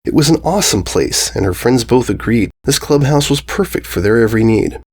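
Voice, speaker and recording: A man with a medium-pitched voice reads a rhyming children's tale. His cadence is measured and singsong, with very lively rises and falls. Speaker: story reader. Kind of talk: rhyming children's storybook narration.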